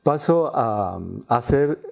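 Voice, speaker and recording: A man's voice: mid-range voice, lively intonation, slow, darkish tone. A man in his eighties with an Argentine accent, a native Quechua speaker, recounting his life as an interview guest. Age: 80s. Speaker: interview guest, former radio and TV announcer. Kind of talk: autobiographical storytelling in an interview.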